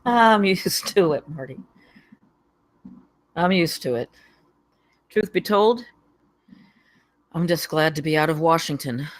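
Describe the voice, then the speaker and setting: A woman's voice: sits low, animated, slow pace, pauses often, heavily acted. A woman at a script table read, playing an earnest, somewhat weary and defensive former government man.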